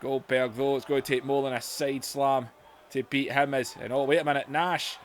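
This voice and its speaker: A man commentating a wrestling video game, shouting at big moves as his voice goes hoarse. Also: medium pitch, fairly even intonation, normal speed, slight background noise. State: voice going hoarse.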